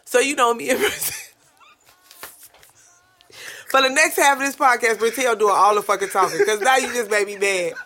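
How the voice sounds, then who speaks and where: A young woman with a New York accent and a deep-sounding voice, co-hosting a casual podcast in a blunt, profane, animated way. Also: normal speed, long pauses, a little hiss or hum. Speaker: podcast co-host.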